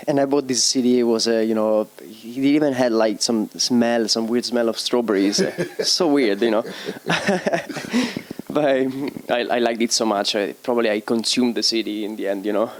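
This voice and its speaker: A young man from Italy, a DJ and producer answering interview questions in a halting way, often searching for words and laughing easily. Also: medium pitch. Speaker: DJ and producer guest.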